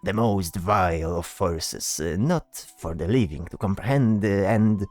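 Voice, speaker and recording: A man voicing a polite, hesitant bard in a fantasy audio drama, speaking in a halting, showy, courteous way. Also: deep voice, a little mellow. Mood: explaining, hesitant. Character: chatty, polite, hesitant male bard spellcaster.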